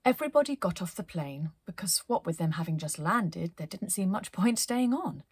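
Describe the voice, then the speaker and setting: A woman hosting storytime, narrating a children's story expressively and deliberately, with hushed phrase endings. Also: on the low side, lively intonation, husky, dry recording. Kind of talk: animated children's story reading.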